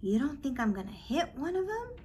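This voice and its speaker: A woman reading aloud the part of a brash, goading neighborhood boy from a storybook in an animated way. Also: high voice, fairly quick, a little hiss or hum, voice pushed up.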